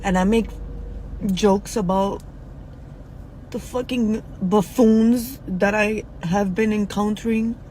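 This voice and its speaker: A woman in her forties speaking in a frank, halting personal video, with many fillers and pauses. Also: mid-range voice, fairly even intonation, mellow, slight background noise, low-quality recording. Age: 40s. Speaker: social media poster.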